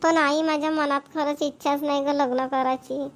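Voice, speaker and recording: Woman doing a stubborn college girl, kinda playful, real high voice. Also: flat delivery, normal speed, close to an everyday voice. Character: young woman college student, carefree and stubborn.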